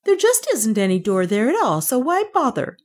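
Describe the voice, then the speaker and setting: A woman voicing a stubborn, easily frightened storybook maidservant with a sharp, snappy manner. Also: mid-range voice, big pitch swings, normal speed. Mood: snappish.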